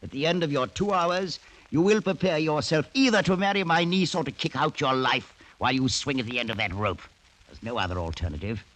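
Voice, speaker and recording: A man's voice: fairly high pitch, expressive, brisk, fairly bright tone. An actor playing a sly, gleefully menacing older French nobleman in a radio drama, mock-polite and theatrical as he savors his threats. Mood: silky menace. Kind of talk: 1940s radio drama acting. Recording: noisy old radio recording.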